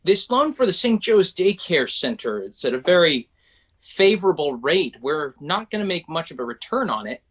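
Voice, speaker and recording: A man's voice: on the high side, big pitch swings, moderate pace, a bit bright, slightly rough, full character voice. A man playing a profit-minded, needling bank colleague in a musical table read, with an insinuating, pointed manner.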